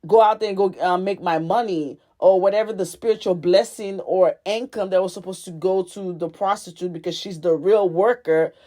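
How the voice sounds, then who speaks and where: A woman's voice: fairly low pitch, a little mellow. A woman from Haiti with a Haitian accent, giving a prophetic teaching monologue as a spiritual teacher, earnest and repetitive, often restarting her phrases. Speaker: spiritual teacher and life coach.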